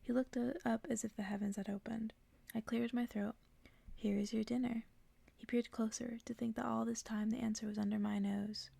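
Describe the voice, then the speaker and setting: A woman whispers softly and intimately, as in an ASMR session. Her voice sits a little high for a woman, with a noticeable creaky fry. The delivery is hushed, made for reading someone to sleep. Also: level tone, moderate pace, dry recording. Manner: hushed, soft, mostly whispering. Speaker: ASMR creator reading stories to listeners. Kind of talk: whispered ASMR bedtime story reading with casual asides.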